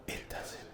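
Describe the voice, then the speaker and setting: A man voicing a menacing, possessive sleep paralysis demon in a whispered ASMR roleplay, with slow, taunting, drawn-out phrases. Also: background noise. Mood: mocking, gloating. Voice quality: breathy whisper with creaky low voice.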